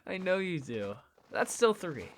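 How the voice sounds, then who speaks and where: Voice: mid-range voice, big pitch swings, unhurried, a little hiss or hum. An actor playing an earnest, easily flustered young friend in a naturalistic audio drama. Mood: defensive. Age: young adult. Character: earnest, defensive young friend in a strange town.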